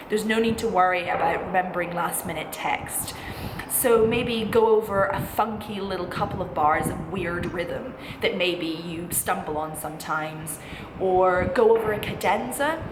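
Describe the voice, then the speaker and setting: Echoey, reassuring young woman vlogger. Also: medium pitch. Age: 20s. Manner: informal, reassuring, encouraging. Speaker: vlogger, music college postgraduate singing student. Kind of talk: chatty advice vlog to camera. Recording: echoey room with clear background noise.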